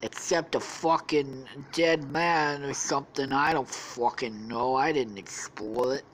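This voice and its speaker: A woman voicing a gruff, deadpan young woman with a manly voice. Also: very deep voice, a little hiss or hum. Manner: slow, deadpan and defensive.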